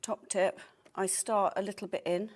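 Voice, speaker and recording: A woman's voice: mid-range voice, fairly even intonation, moderate pace, a little mellow. A woman demonstrating a sewing technique on a live studio show. She explains steps practically and mutters to herself as she works. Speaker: sewing presenter.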